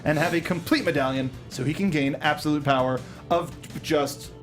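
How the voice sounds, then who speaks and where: A man with a fairly high voice hosts a casual movie review panel. He talks in a halting, joking way, feeling his way through sentences, while music plays behind him. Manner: halting, jokey. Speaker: host of a film review panel show. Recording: music playing behind the talk.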